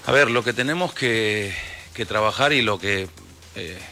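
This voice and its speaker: Man, 40s, Peruvian accent, lowish bright voice, measured explanatory radio guest. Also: animated, faint music behind. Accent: Peruvian Spanish. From Peru. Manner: measured, explanatory, many fillers and restarts. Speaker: football club executive, guest. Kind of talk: radio interview answers.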